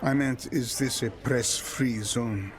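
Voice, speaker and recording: A man's voice: medium pitch, takes it slowly, a little hiss or hum, lightly acted. An actor playing a reserved, dry-witted man in a naturalistic radio soap. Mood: wary, dry.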